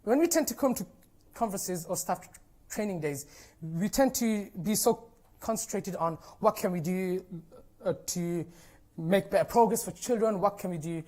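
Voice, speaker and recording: A man's voice: sits high, normal speed, a little mellow. A young man with a stammer, a teacher giving an informal, encouraging talk to an audience and using many fillers. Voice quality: stammer, with repeated sounds and blocked starts. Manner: many fillers such as "you know" and "like", earnest and encouraging.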